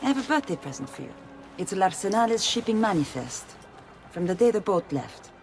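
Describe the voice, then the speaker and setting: Teasing thief girl in a game, bouncy lively voice, loud music under her. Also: medium pitch, fairly quick. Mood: affectionate. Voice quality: playful, teasing. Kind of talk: video game cutscene acting.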